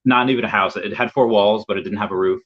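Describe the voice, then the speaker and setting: A man's voice: on the low side, fairly even intonation, fast talker. A young man from the United States, a guest in a casual interview chat over a video call, rambling and repeating words as he searches for his thoughts. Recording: dull lo-fi audio.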